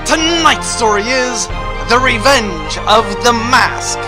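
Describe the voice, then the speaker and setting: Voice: medium pitch, animated, slow pace. A performer playing a grand, theatrical announcer narrating in the style of an old-time radio serial. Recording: music behind the voice.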